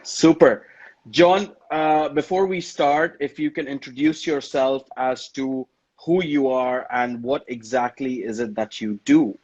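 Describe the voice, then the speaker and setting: A man hosting a live online interview, asking friendly questions with lively emphasis and repeated words, over a video call. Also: mid-range voice, measured pace, slightly rough, muffled recording. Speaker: interview host.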